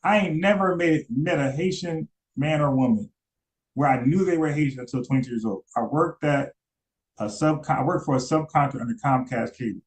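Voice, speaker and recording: A man's voice: on the high side, fairly quick, rough recording. A man giving casual live-stream commentary, rambling, repeating words and asking his chat questions. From United States.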